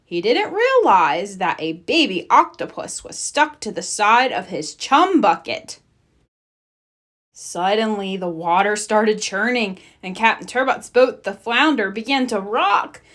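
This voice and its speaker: A woman narrating a children's storybook in an animated read-aloud style. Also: mid-range voice, measured pace. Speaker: storybook reader.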